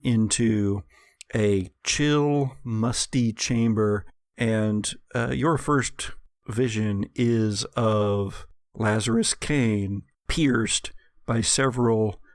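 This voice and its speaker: A man narrates a gothic horror tabletop game as game master. His pace is slow and deliberate, with descriptive, suspenseful phrasing, and the recording is close and dry. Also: fairly low pitch, no room sound.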